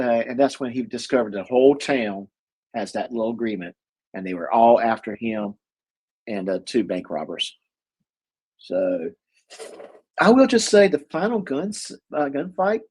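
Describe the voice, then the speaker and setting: A man's voice: medium pitch, darkish tone, low-quality recording. A man co-hosting a casual show, summing up a movie with lots of fillers, restarts and laughs. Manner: rambling, many fillers and restarts; laughs often. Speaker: podcast co-host. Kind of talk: casual movie review chat.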